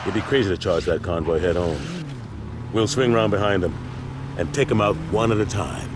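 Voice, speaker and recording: A man's voice: deep voice, normal speed, natural voice. A man playing a tough, commanding street gang lieutenant in a video game cutscene, talking in terse streetwise orders. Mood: urgent, decisive. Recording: loud car and street noise behind.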